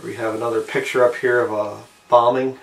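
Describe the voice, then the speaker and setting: A man giving a casual show-and-tell narration, unhurried and halting, often trailing off between short phrases. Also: medium pitch, little pitch movement, creaky. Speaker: amateur video maker showing a collectible. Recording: roomy, distant mic with faint background noise.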